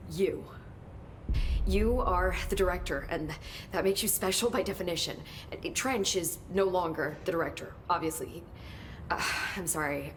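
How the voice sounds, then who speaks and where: An actress playing an eager, brainy research assistant in a video game, chatty and effusive, rambling and halting in turns. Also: female voice, lightly acted. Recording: clear background rumbling noise.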